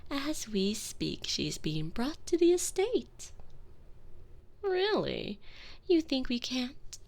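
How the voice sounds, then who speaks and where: A woman voicing a seductive, commanding vampire mistress in an intimate audio roleplay, teasing and manipulative, often dropping to soft whispers. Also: high-pitched, sing-song, slow pace, full character voice. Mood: menacing. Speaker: voice actress in a one-sided audio roleplay. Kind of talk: intimate binaural roleplay, addressing the listener.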